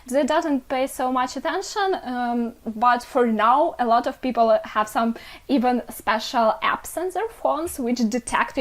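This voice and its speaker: Higher-voiced woman with Ukrainian accent. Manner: halting, searching for words. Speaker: interview guest. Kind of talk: conversational explanation of language and market.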